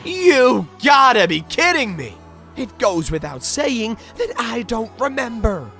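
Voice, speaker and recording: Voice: mid-range voice, sing-song, slow, bright, forceful tone, faint music behind, full character voice. An actor playing a bewildered, polite amnesiac spirit with dry wit in a video game fan dub, hesitant and given to exaggerated surprise. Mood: incredulous. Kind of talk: dramatic fan dub with inner monologue.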